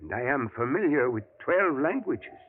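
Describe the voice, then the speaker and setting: An actor playing a learned, determined professor who speaks formally and stiffly, in 1940s radio acting. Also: male voice, medium pitch, big pitch swings, moderate pace, husky. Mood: earnest. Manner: formal, stiff phrasing without contractions. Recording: tinny old radio broadcast.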